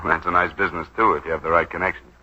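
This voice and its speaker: Sharp, wisecracking 1940s radio schemer. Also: male voice, low voice, flat delivery, full character voice. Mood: sly, insistent. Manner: wry, fast-witted, smooth-talking. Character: brash, wisecracking young lawyer turned schemer, slick and probing. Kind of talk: 1940s radio drama acting. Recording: dull 1940s radio broadcast recording.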